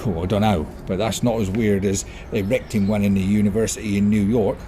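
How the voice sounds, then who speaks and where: A man playing a droll, pedantic village local in an amateur audio play, with a mellow, deadpan delivery. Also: fairly low pitch, a little fast, background noise, natural voice.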